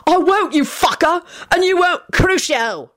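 Hot-tempered, defiant storybook man, female reader acting, high and loud, swooping with rage. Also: huge pitch swings, takes it slowly, no room sound, studio quality, heavily acted. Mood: furious. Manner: shouting, sputtering, defiant. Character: cursed, raging, foul-mouthed defiant man.

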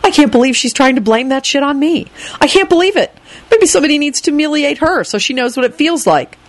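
Woman as sulky young wizard, rude, shouty, pitched up high. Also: animated, a little fast, dry recording. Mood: indignant. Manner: indignant, shouting.

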